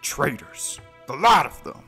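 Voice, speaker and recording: Gravelly, bombastic dwarven warrior. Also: male voice, fairly high pitch, animated, measured pace, bright, forceful tone, soft background music, exaggerated voice. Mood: scornful, indignant. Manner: bombastic, grandiose declaiming. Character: boastful, hot-tempered dwarven warrior paladin. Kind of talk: fantasy audio drama acting.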